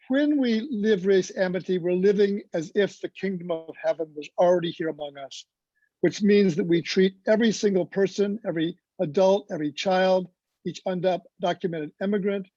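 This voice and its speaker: A man giving reflective, preacherly remarks as a roundtable panelist, deliberate and building lists in measured phrases, on a lo-fi video call. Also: high-pitched, warm tone, no echo.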